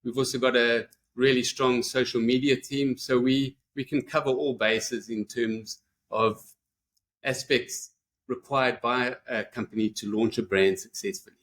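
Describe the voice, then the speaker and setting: A man from Zimbabwe with a South African accent, answering podcast interview questions in a measured, explanatory way. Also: medium pitch, steady tone, fairly bright tone. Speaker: brand manager guest.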